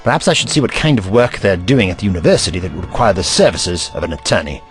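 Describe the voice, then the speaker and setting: An actor playing an eccentric, kindly and curious investigator in an audio drama, pensive and courtly in manner. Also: male voice, low voice, big pitch swings, quick pace, soft background music. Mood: grave, kindly.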